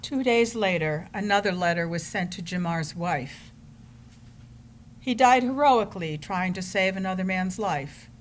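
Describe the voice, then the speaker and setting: A woman's voice: mid-range voice, expressive, unhurried. Female readers theater narrator, middle register, scripted reading, slight background noise.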